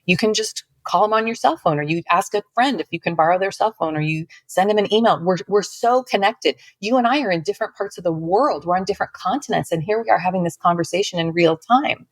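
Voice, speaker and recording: A woman's voice: mid-range voice, fast talker, hardly any pauses, dry recording. A woman author who speaks articulately and earnestly, reading expressively and explaining her work. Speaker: author, podcast guest. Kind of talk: expressive book reading and conversation.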